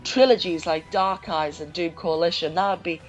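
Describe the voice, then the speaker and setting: A man's voice: fairly high pitch, fairly even intonation, moderate pace, highs cut off, faint music behind. A young man shares opinions in a casual fan conversation. He speaks as a guest or co-host, in an informal, conversational way.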